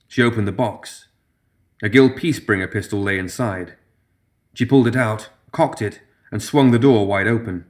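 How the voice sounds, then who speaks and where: A man reading a story as a narrator, in a scripted dramatic style. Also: fairly low pitch. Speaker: audio fiction narrator.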